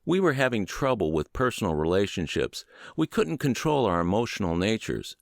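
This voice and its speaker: A man reading a book aloud as a scripted audiobook narration, with measured, emphatic and deliberate phrasing. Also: fairly low pitch, sing-song, vocal fry, no echo, clean studio sound. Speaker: audiobook narrator and podcast host.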